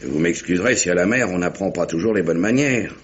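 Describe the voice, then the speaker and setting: Un acteur jouant un capitaine de navire marchand anglais, bourru et impatient, au parler direct et familier, dans une dramatique radio policière française. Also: male voice, deep voice, animated, fast talker. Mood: impatient, grumbling. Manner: blunt, colloquial, grumbling. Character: gruff, impatient male English merchant sea captain. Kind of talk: French radio mystery drama acting. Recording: dull, noisy vintage radio recording.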